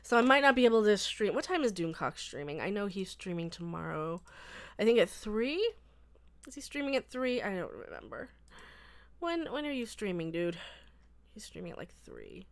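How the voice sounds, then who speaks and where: A woman's voice: mid-range voice, sing-song, moderate pace. A young woman with a cold and a stuffy nose, from Colorado, hosting a casual live stream and chatting with viewers in a rambling way with frequent laughs. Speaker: livestream host.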